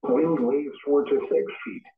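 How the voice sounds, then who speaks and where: A man's voice: mid-range voice, steady tone, measured pace, distant mic. A synthesized man's voice reading a scripted weather forecast over the radio in a formal, impersonal, clipped manner. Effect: synthesized voice played over a weather radio. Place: radio playing in a home room, picked up from a distance. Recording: tinny band-limited radio, picked up from across a room.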